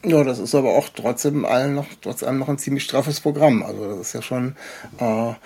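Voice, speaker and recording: A man interviewing casually and unscripted, halting often as he searches for the right words. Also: medium pitch, a little mellow, slightly rough. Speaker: interview host.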